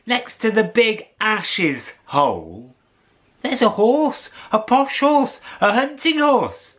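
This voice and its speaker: An eager young girl in a spoken storybook, her voice jumping up high as she rushes out her news, put on by a man telling the tale. She speaks with a breathless, bright energy. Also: big pitch swings, heavily acted. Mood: excited.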